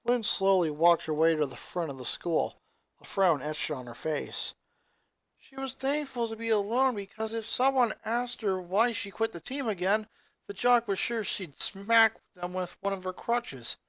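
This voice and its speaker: A narrator reading scripted fan fiction aloud in an expressive storytelling style. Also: medium pitch, a little slow, no room sound.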